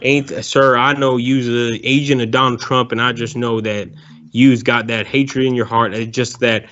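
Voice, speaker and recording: A man's voice: medium pitch, little pitch movement, moderate pace, fairly bright tone, close to an everyday voice. A man putting on a rambling Southern ex-convict drawl. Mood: ranting. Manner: folksy drawl, rambling, calls everyone sir. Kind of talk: prank call. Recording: dull lo-fi phone call.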